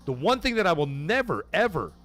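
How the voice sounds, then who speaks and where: A man hosts a casual gaming livestream, reading viewer super chats and firing off blunt, profane opinions. His voice sits a touch high for a man, and he jumps loud for shout-outs before settling back. Faint music plays underneath. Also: brisk. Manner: excitable, swings loud for donation shout-outs. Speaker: livestream host.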